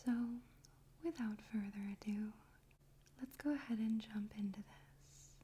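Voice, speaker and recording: Breathy, whispering woman, slow ASMR delivery. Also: medium pitch, warm tone. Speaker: ASMR host and poetry reader. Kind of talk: ASMR, soft unhurried talk and poetry reading. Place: room in a home in daytime.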